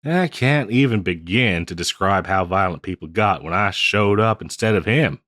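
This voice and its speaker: Man acting as old frontier barkeep, low-pitched, dry close sound, folksy, rambling, gently teasing, audio roleplay. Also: lively intonation, normal speed, no room sound. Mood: wry, reminiscing. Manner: folksy, rambling, chuckling drawl with hesitations. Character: folksy, teasing old Western bartender, ex-drifter singer. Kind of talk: intimate scripted roleplay audio, addressed to listener.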